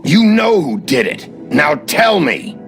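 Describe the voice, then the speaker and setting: An actor playing a gruff, stern sheriff in a video game, blunt and commanding. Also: male voice, a little high, big pitch swings, slow, a bit bright, soft background music, full character voice.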